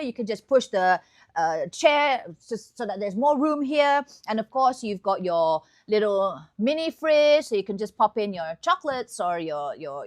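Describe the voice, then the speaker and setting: A woman with a Malaysian accent hosting a chatty, upbeat promotional tour of a hotel room indoors. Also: a little high. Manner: chatty, upbeat sales patter. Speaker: host.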